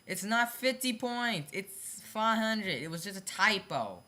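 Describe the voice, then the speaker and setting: A hobbyist video creator with a sharp, bright voice explains card designs in a casual, unscripted way. They stumble over phrasing, circle back to repeat points and apologize for their own slips. The pitch sits in a middle range. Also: animated, measured pace. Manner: repetitive, self-correcting, stumbles over phrasing; apologetic about own mistakes.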